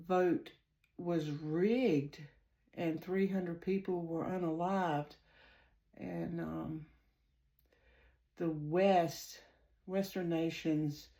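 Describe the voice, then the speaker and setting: A woman with a Southern US accent giving a casual spiritual reading, halting with many ums as she reads from her notes. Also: lowish voice, mellow. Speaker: psychic channeler.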